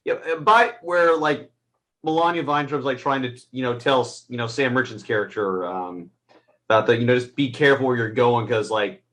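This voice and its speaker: Man, medium pitch, casual film reviewer, halting and searching for words. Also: fairly bright tone. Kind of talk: casual unscripted review chat.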